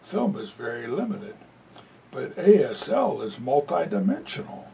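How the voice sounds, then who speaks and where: Distant, gravelly male interpreter in a hall. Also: very animated, unhurried, a crowd in the background. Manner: conversational, many "you know" fillers. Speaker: sign language interpreter voicing a presenter. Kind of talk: live spoken interpretation of a talk. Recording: distant mic with faint low rumble.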